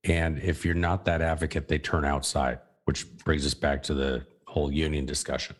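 A man's voice: rumbling low, flat delivery, moderate pace. A man hosting an interview podcast, often agreeing with short affirmations before adding his own points. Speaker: podcast host. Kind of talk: conversational interview.

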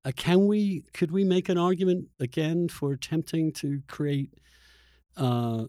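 A man's voice: fairly high pitch, a little slow, dark tone, dry recording, clean studio sound. A man hosting a reflective conversational interview, searching for words and often stammering over the starts of them. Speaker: podcast host and interviewer.

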